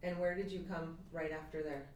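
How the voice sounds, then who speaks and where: Low-voiced woman hosting in a roomy office. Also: fairly even intonation, brisk, slight background noise. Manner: warm, encouraging, many short affirmations. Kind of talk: casual interview.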